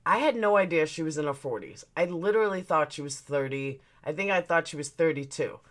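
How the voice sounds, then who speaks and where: A woman's voice: low-pitched, brisk. A woman in her forties hosting a livestream, chatting casually as she reads out and answers viewer comments, blunt and swearing now and then. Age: 40s. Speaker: livestream host.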